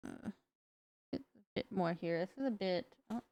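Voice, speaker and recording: A woman streamer muttering softly to herself as she paints a miniature. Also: medium pitch, animated, normal speed, vocal fry, dry recording. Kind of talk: casual chat while painting a miniature.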